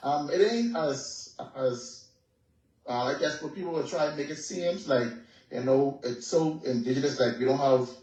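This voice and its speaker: A man's voice: mid-range voice, normal speed, darkish tone. A man with a Bahamian accent, a reggae artist, talking in a laid-back way and saying "you know" all the time. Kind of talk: casual interview over a call. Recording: echoey, distant from the mic.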